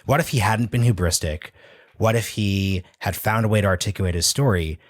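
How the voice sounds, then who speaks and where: A man's voice: on the low side, a little fast, dry recording, clean studio sound. A man hosting a podcast interview, asking long, thinking-aloud questions in a casual conversational way. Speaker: podcast host.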